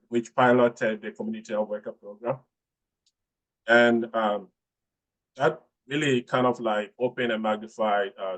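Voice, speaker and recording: A researcher from Ghana answering a webinar question, speaking haltingly with many fillers and restarts. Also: male voice, fairly low pitch, poor audio. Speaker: researcher presenting.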